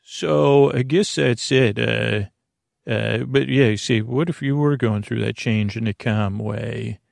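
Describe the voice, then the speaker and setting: A man hosting a bedtime podcast in a halting, meandering, earnest and reassuring ramble, with a gravelly voice, close on the mic. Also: medium pitch, dry recording. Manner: halting, meandering, trailing off mid-sentence. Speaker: podcast host.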